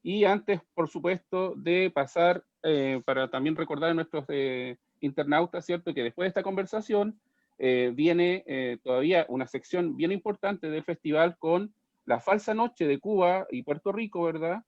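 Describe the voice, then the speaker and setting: A Chilean-accented man with a somewhat high voice moderates a panel, stumbling through fillers and repeated words. The sound is very dry and dull, like a lo-fi video call. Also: moderate pace, a little mellow, no room sound. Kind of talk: hosting a panel.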